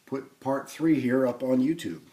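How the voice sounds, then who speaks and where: A man hosting a hands-on repair video, explaining things informally and thinking aloud with hesitant pauses. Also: medium pitch, mellow, breathy edge, low-quality recording. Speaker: host of a record player restoration video. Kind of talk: casual hands-on demonstration.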